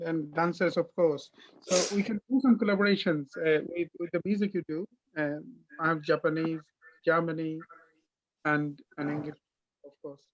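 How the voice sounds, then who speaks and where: Host, male. High voice, halting delivery with many fillers, dry and muffled call audio. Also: no echo. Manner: hesitant, many fillers and restarts. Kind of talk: casual interview chat. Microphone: video call.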